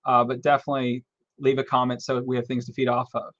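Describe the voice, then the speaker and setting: A man's voice: medium pitch, monotone, quick pace, fairly bright tone, no room sound. A man hosting a live online panel, chatting loosely with rambling asides while he moderates. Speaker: host of a live panel stream. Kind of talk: casual moderating. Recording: muffled lo-fi, very dry.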